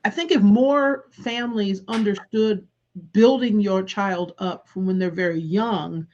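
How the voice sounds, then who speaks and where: Woman educator guest, reflective and earnest, mid-pitched, lo-fi audio. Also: unhurried, a little mellow. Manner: earnest and reflective, with many restarts. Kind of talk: casual conversational interview.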